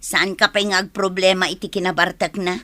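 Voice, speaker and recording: A woman's voice: on the low side, flat delivery, fairly quick, pushed and bright, no echo. A woman acting a guarded, hesitant young woman being courted in a radio drama. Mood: doubtful. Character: guarded young woman, courted but wary of drinking men. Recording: music under the voice.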